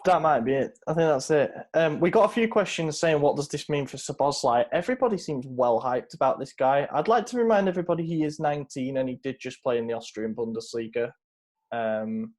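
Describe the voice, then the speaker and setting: A man's voice: medium pitch, a little mellow. A man, a podcast host chatting casually about football, rambling with many fillers.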